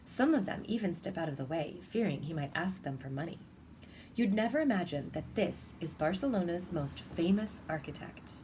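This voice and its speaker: A woman reading a children's picture book aloud in an expressive, deliberate storytelling manner. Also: lowish voice, slight background noise, poor audio. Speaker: storybook reader.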